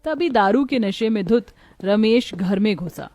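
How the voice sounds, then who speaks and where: A woman narrating a children's cartoon story in a gentle, storytelling way. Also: mid-range voice, expressive, measured pace, dry recording.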